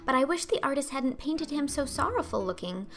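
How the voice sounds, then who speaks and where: A woman's voice: high-pitched, very animated, fairly quick, a bit bright, pitched above the performer's own voice, heavily acted. A woman voicing a dreamy, imaginative orphan girl in an audiobook, talking earnestly and effusively. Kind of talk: audiobook character acting.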